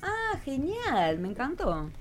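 A woman's voice: sits high, wildly swooping pitch, takes it slowly, over music. A woman with a Rioplatense Argentine accent, interviewing as a radio host in an encouraging, conversational manner. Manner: encouraging, responsive.

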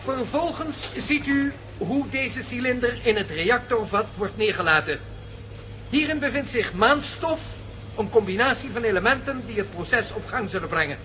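A man's voice: very high voice, lively intonation, normal speed, sharp tone, slight background noise, exaggerated voice, rough recording. Een man die in een hoorspel een bevlogen, vastberaden professor speelt, formeel en nadrukkelijk declamerend. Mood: solemn, declaiming. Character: impassioned, determined male professor championing a revolutionary theory. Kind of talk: Dutch radio play acting.

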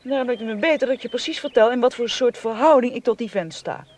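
A woman's voice: a little high, fast, darkish tone, close to an everyday voice. An actress playing a polite but proud and forthright young woman in a radio drama. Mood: defiant, indignant. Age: young adult. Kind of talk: Dutch radio drama acting. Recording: faintly noisy radio play recording.

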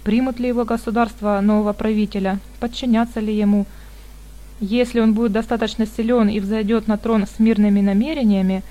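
A woman narrates a fantasy novel in an even, measured voice. Her tone is mellow and warm, and she shades the characters' dialogue only lightly. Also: on the high side. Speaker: audiobook narrator. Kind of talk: reading a fantasy novel aloud.

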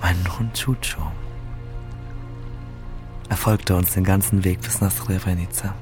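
A man's voice: sits low, fairly even intonation, a little slow, music in the background. An actor playing a grieving seventeen-year-old boy fleeing war, telling his story in a subdued, reflective radio drama monologue. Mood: wistful, sad.

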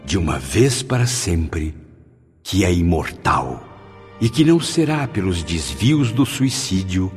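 A deep-voiced male spirit in a radio drama, solemn and grateful. His delivery is florid and declamatory, as if reciting weighty memories. Music plays underneath. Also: big pitch swings, slow, a little mellow, heavily acted. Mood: sorrowful, solemn.

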